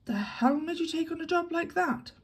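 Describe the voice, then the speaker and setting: A woman's voice: very high-pitched, animated, normal speed, pitched above the performer's own voice. A woman reading an audiobook, voicing a jovial man who teases an old friend in a hearty way. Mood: teasing. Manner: teasing, hearty.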